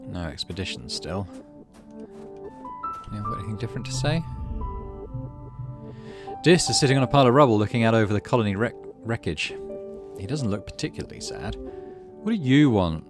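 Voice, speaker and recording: Low-voiced man narrating a game, music behind. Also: big pitch swings, normal speed, long pauses. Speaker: gaming channel host. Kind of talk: reading visual novel text aloud with casual gameplay commentary.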